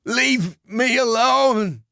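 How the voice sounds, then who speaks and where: A man's voice: high-pitched, very slow, vocal fry, no echo, clean studio sound, voice pushed up, full character voice. A man voicing a terrified young man begging to be left alone. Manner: pleading, desperate.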